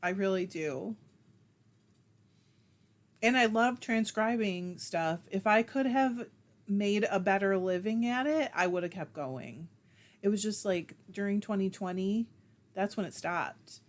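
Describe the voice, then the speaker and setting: A woman's voice: mid-range voice, unhurried. A woman hosting a casual livestream, reading viewer comments aloud and chatting back in a self-deprecating way, laughing often. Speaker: livestream host.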